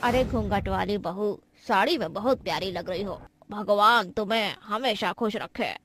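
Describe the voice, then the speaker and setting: Woman acting older female guest, cartoon drama, middle pitch, emphatic, opinionated, moralizing. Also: moderate pace, a bit bright, a little hiss or hum, natural voice. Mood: admiring. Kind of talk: cartoon story dialogue.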